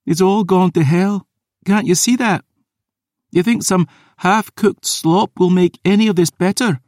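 A man's voice: mid-range voice, takes it slowly, dry recording, studio quality, voice pushed up, close to an everyday voice. A man voicing a grief-stricken young maid, trembling and then breaking into anguished outbursts. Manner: trembling, turning to anguished shouting.

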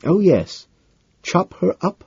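Man putting on a pompous, affected English businessman, high-pitched. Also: very animated, slow pace, gravelly, darkish tone, slightly rough, dry recording, highs cut off, heavily acted. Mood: dismissive. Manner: pompous, drawling, dismissive. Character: smug, bored, chuckling upper-class businessman, affected Englishness.

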